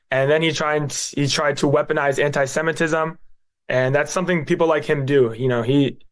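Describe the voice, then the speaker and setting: A young man from the United States, a guest answering interview questions in an earnest, plain-spoken way. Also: on the high side. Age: young adult.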